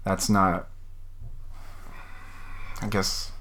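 A man chatting casually about films, laid-back and quick to laugh. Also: low voice, flat delivery, fairly bright tone. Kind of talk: casual film review chat.

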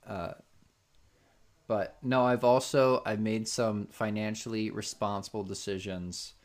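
A man's voice: lowish voice, level tone, unhurried. A man hosting a podcast, chatting casually on a voice call, rambling with many restarts. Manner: rambling, lots of restarts and fillers. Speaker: podcast host.

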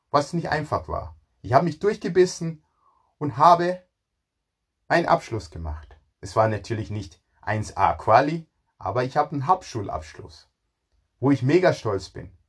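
Guy in his 40s, lively swoopy pitch, bit husky, telling his own story. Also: measured pace. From Ghana, moved to Germany at thirteen. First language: not German. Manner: animated, emphatic, repeats words for emphasis. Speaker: speaker telling own career story, cook. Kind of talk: informal personal storytelling to an audience.

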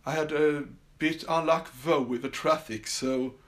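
A man's voice: on the high side, steady tone, a little slow. A man from Sweden with a Swedish accent, hosting a casual solo podcast from his summer house, talking with many fillers and restarts. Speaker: podcast host.

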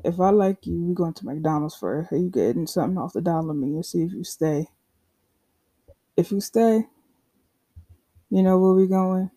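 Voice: medium pitch, normal speed, pauses often, mellow. A podcaster in their twenties talking in a candid, rambling solo monologue full of swearing and side remarks. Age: 20s. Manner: candid, profane, rambling with asides. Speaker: podcast host.

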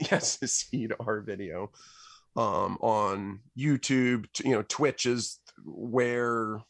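Low-voiced man, halting and rambling. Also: gravelly, no room sound, clean studio sound. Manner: rambling, halting, stammering over words. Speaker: musician, podcast guest. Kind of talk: casual chat about own projects.

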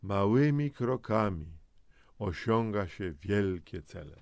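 A man co-hosting a health programme, explaining conversationally to the listener with deliberate stress on key words. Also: fairly low pitch, expressive, no echo, clean studio sound. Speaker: co-host of a health audio programme.

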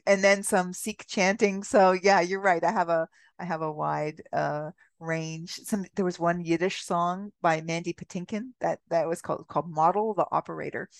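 Brief: woman guest, lower voice, dry close sound, laughs a lot, muses aloud. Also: fairly even intonation, normal speed, creaky, hardly any pauses, no room sound. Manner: laughs often, rambling and reflective. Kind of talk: casual podcast conversation.

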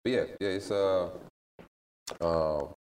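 Man, American accent, extremely deep voice, laid-back slang, slightly distant mic. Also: steady tone, slow pace, darkish tone, slight background noise. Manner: laid-back, slangy, lots of "you know what I mean". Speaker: guest, recording artist. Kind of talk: casual interview chat.

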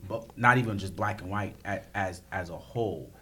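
Low-voiced man arguing his point. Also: normal speed, fairly bright tone, husky. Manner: blunt, pushes his point with questions. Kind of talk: casual group discussion.

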